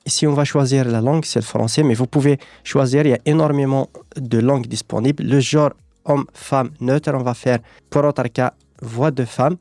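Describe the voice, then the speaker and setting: A man presenting a software tutorial, explaining things step by step. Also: mid-range voice, steady tone, moderate pace, no room sound.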